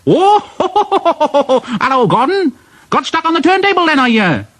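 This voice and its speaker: A man voicing a boastful, mocking, excitable young steam engine in a children's storybook. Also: extremely high pitch, no room sound, voice pushed up, exaggerated voice. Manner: boastful, mocking, rapid repeats.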